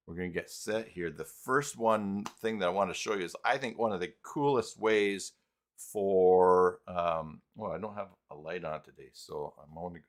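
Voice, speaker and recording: A man hosting a casual, unscripted live software tutorial, thinking aloud and muttering to himself as he works through each step. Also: low voice, moderate pace. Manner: thinks aloud, muttering and humming filler syllables between steps. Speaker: live stream host and web tutorial presenter.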